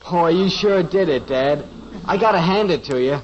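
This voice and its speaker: A young man playing an eager teenage son in a 1950s radio sitcom, admiring and excited. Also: a little high, moderate pace, highs cut off, a little hiss or hum, lightly acted.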